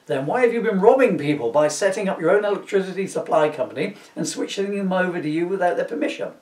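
A man's voice: high voice, animated, quick pace, a little mellow, voice pushed up. A man voicing an earnest, declamatory young superhero who gets mildly exasperated, in a comic storybook reading. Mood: stern. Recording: roomy, distant camera microphone.

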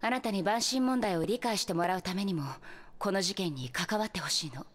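A composed young woman leader of a fantasy game order, played by an actress. She speaks in a calm, measured way at a middle pitch, explaining things clearly. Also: natural voice. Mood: composed, businesslike. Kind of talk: Japanese video game cutscene acting.

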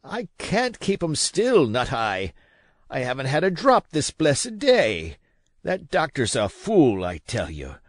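A man voicing a weak, feverish old sea captain who curses and pleads in rough sailor talk. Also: medium pitch, wildly swooping pitch, slow pace, no echo, clean studio sound, voice pushed up, full character voice. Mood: desperate. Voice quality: feeble, wheedling.

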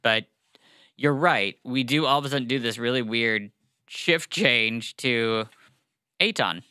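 A man's voice: medium pitch, a little slow, pushed and bright, clear, resonant tone, dry recording. A young man co-hosting a podcast, chatting casually about a book with many fillers and restarts, on a studio mic. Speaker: podcast co-host. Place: small studio, seated. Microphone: studio mic on boom arm.

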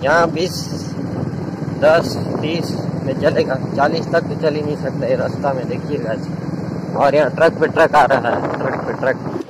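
A man's voice: on the high side, steady tone, unhurried. A young man, chatting casually to viewers while riding a motorbike outdoors. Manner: chatty, pointing things out to viewers. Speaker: vlogger. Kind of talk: casual ride commentary. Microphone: camera mic on a riding bike. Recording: loud wind and engine noise. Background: wind rush and traffic.

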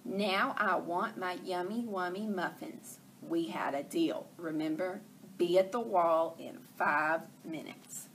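A woman voicing a big, gruff male wolf, speaking in a deep, slow and menacing way. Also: a little hiss or hum. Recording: distant from the mic.

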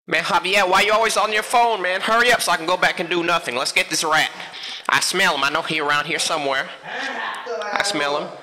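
A man performing comedic animal voiceovers in an exaggerated, slangy, expressive way. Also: sits high, a little fast, background noise.

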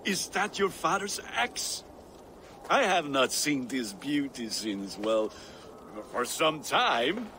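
An actor playing a gruff, boastful older Viking blacksmith in a video game cutscene. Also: male voice, mid-range voice, big pitch swings, takes it slowly, pushed and bright, a little hiss or hum, exaggerated voice. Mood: admiring.

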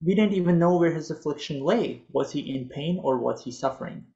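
A man in his thirties whose first language is Urdu, reading a prepared talk in a measured, earnest way over a video call from a home office. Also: a little high, creaky, warm tone, dull audio. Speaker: physician presenter.

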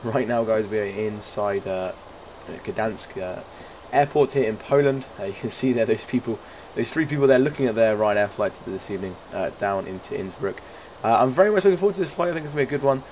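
A man with a hoarse, croaky voice giving casual, rambling commentary on a flight simulator game, full of fillers and restarts. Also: lowish voice, expressive, fairly quick, a little mellow, a little hiss or hum, rough recording. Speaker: flight sim streamer.

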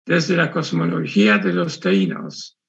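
Older man, slow gravelly voice on a video call. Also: deep voice, sing-song, fairly bright tone. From Puerto Rico, raised in Quebec, Canada. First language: French. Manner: deliberate, careful non-native Spanish with restarts. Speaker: book presenter, retired historian. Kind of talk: online book presentation. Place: room indoors. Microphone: video call webcam. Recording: muffled video-call audio.

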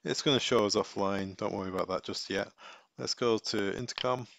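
A man giving a casual step-by-step software tutorial, thinking aloud as he works. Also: on the low side, fairly even intonation, brisk, creaky, no room sound, studio quality.

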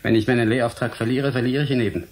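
An actor playing a defiant, principled scientist and lecturer in a German radio play, speaking formally, firmly and in a measured way. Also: male voice, medium pitch, steady tone, a little hiss or hum, natural voice. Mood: defiant, resolute.

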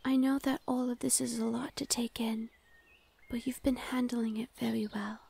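Breathy, high-voiced gentle fae lady played by a woman. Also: little pitch movement, normal speed. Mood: warm, comforting. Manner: soothing, breathy, often whispering. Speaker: ASMR roleplay voice performer. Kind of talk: ASMR roleplay.

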